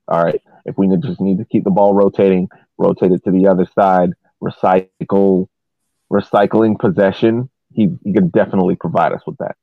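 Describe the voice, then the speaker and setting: A man talking casually as a podcast panelist analysing soccer, explaining at length in a halting way with repeated words. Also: low-pitched, no echo. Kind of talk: casual match analysis discussion. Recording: thin phone-like line.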